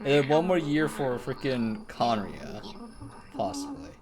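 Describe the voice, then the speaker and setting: A woman's voice: extremely low pitch, huge pitch swings, measured pace, a crowd in the background, low-quality recording. A woman doing casual live game commentary, full of joking, slangy asides. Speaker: game streamer. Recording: game music clearly behind the voice.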